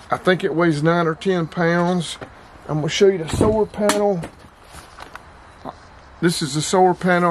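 A man's voice: on the high side, slight background noise. A man in his fifties hosting a casual vlog, talking through a product demo in a plain-spoken, rambling way that repeats itself, close to the camera in a small storage room. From North Carolina. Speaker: outdoors and radio channel host.

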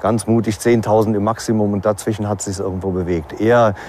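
A man's voice: on the low side, little pitch movement, fast, darkish tone, slight background noise. A man giving an unscripted expert explanation in an interview.

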